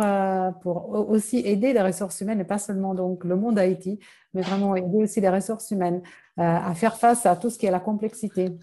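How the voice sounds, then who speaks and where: A woman's voice: mid-range voice, dark tone. A woman from Italy whose first language is Italian, introducing herself as an agile coach, with frequent hesitations. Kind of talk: live online workshop presentation.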